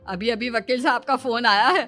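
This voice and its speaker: An eager, devout mother of a grown son , voiced by a woman storyteller, speaks in a high, emphatic voice and makes demands. It is moral-story dialogue with light music behind it. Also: normal speed, sharp tone. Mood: excited.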